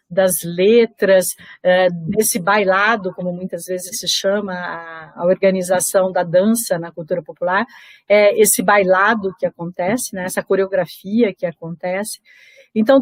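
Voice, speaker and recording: A woman, a founder of a theatre and dance group, explaining folk traditions in a live-stream conversation, unhurried and repeating phrases for emphasis. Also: medium pitch, dry recording.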